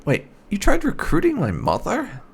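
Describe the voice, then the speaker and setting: A man's voice: sits high, wildly swooping pitch, normal speed, vocal fry, crowd noise. A man giving roleplay commentary over a game playthrough, musing and hesitant, with a dry delivery. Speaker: gaming video host.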